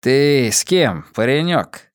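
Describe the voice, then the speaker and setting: A man's voice: medium pitch, big pitch swings, takes it slowly, clear, resonant tone, dry recording, clean studio sound. A man voicing a short, fat, suspicious fisherman in an audiobook, challenging and bossy as he interrogates.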